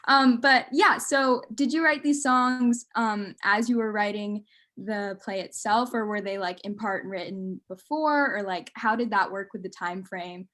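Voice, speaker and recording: Enthusiastic woman asking a question, mid-pitched. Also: measured pace, fairly bright tone. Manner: gushing, enthusiastic. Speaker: audience questioner. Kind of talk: casual question.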